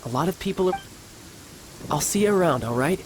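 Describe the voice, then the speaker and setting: Guy acting cocky sweet big brother officer in a game, very lively smooth talker, rain noise behind. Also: on the high side, brisk, close to an everyday voice. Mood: gently coaxing. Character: confident doting older brother, secretly a military officer. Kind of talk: acted video game dialogue.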